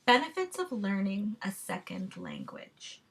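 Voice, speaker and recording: A woman giving a measured, explanatory class presentation, with the odd filler. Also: mid-range voice, huge pitch swings, fairly bright tone, breathy edge. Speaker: student presenter.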